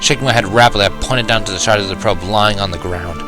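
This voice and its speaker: A man's voice: on the low side, quick pace, music in the background. A man reading a fan-fiction story aloud as scripted first-person narration.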